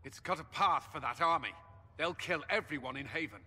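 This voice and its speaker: An actor playing a grim, resolute military commander in a video game, with firm, clipped, grave delivery. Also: male voice, fairly high pitch, lively intonation, fairly quick, pushed and bright, slightly rough, heavily acted. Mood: grim urgency. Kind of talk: dramatic video game acting. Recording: long hall reverb, background ambience noise.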